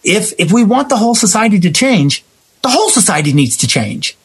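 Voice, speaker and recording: Animated, high-pitched American man with husky voice. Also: fairly quick. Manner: emphatic, acting out imagined quotes. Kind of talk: opinionated conversation about society.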